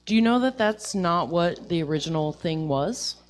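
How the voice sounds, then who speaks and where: A woman's voice: lowish voice, expressive, moderate pace. A woman musician talking casually as a guest on a show and introducing songs.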